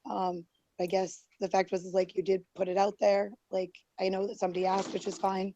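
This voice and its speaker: Dark-voiced woman losing her voice. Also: mid-range voice, completely flat delivery, fairly quick, no room sound. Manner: rambling, defensive, many fillers and restarts. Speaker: guest. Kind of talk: heated online group call.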